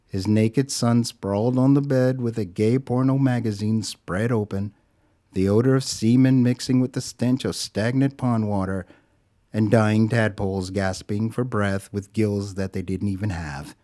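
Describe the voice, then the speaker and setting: A man narrating a scripted story in a dramatic, deliberate storytelling manner. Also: lowish voice, steady tone, dark tone.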